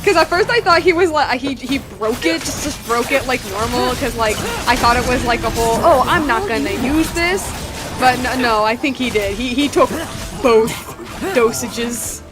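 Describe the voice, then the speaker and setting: Girl streamer reacting live, high voice, excitable rambly chat, game music going behind her. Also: moderate pace. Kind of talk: casual live playthrough commentary.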